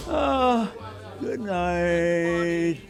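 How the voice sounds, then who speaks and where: A man's voice: sits high, lively intonation, extremely slow, vocal fry, exaggerated voice. A man playing an eerie, addled barfly in a radio play, rambling and exclaiming in a dazed way.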